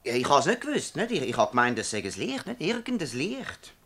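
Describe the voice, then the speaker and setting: A man acting a nervous young innkeeper's son in a Swiss German radio drama, giving halting, evasive answers. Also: a little high, huge pitch swings, a bit bright, heavily acted. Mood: flustered. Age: 20s.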